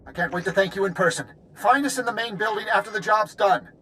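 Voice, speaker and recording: High-voiced, emphatic military squad leader. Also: male voice, quick pace, sharp tone, slight background noise. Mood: resolute. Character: embattled Republic squad leader, urgent, loyal and grateful. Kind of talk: cinematic video game voice acting. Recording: music behind the voice.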